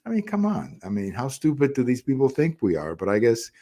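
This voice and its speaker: A man's voice: medium pitch, wildly swooping pitch, brisk, warm tone. A man hosting an opinion podcast, talking conversationally and emphatically in a monologue, repeating phrases to press his points.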